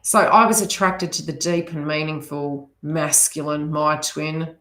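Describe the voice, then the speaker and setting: Lady w slight kiwi accent, lowish bright voice, rambly livestream psychic chat, echoey. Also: fairly even intonation, a little slow. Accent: slight New Zealand. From New Zealand. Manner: rambling, conversational asides to viewers. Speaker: host, psychic reader chatting with live viewers. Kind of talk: casual livestream chat, spiritual advice. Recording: roomy, echoey sound.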